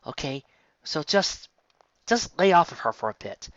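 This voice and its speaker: Male reader doing a quiet, steady young mare musician for a storybook; hushed, lo-fi. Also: low-pitched, a little slow, no echo, voice pushed up. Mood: coaxing. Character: determined, soft-spoken young mare musician. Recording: dull, lo-fi home recording.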